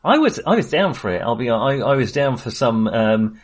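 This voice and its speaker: A man hosts a casual film chat. He speaks informally and haltingly, searching for his words. His manner is self-deprecating and conversational. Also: mid-range voice, sing-song. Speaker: film podcast host.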